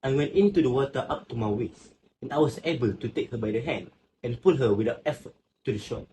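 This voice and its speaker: Guy acting solemn stranger in student play, pitch moves around a lot, short phrases, noisy. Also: lively intonation, brisk, mellow, close to an everyday voice. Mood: earnest. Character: solemn male stranger who found a drowned woman. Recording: noisy amateur recording with ambient sound.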